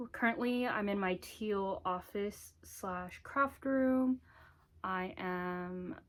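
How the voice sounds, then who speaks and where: Casting: woman, 20s, American accent, mid-pitched voice, casual vlogger delivery, informal and giggly. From United States. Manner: informal, giggly, frequent "like" asides. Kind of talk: casual chatty introduction to camera. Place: small home office and craft room.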